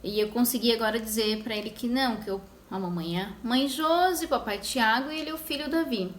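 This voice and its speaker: A young woman telling her story as a mother in a casual vlog, talking in a conversational way with many pauses and restarts. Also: fairly high pitch. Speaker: mother sharing her experience. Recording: echoey room with faint noise.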